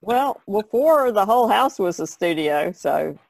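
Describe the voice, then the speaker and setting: A woman's voice: medium pitch, sing-song, a little slow, gravelly, darkish tone, poor audio. A middle-aged or older woman, an artist, chatting casually on a podcast. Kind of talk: casual chat.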